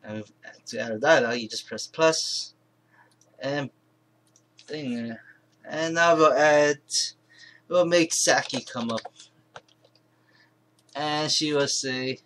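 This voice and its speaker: A man presenting an informal software tutorial, thinking aloud in a halting, rambling way and correcting himself as he goes. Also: mid-range voice. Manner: halting, rambling, self-correcting, mumbled asides. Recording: clear background noise, keyboard and mouse clicks.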